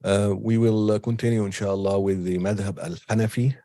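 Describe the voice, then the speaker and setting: A man giving an unscripted online lecture with a cold, halting often with ums and restarts. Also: fairly low pitch, fairly even intonation, mellow, no echo, clean studio sound. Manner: hesitant, many ums and restarts. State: has a cold. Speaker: teacher.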